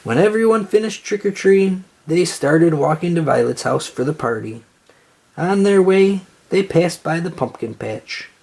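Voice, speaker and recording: A storybook reader narrating aloud for children in an expressive read-aloud style. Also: medium pitch, normal speed, gravelly, slightly rough.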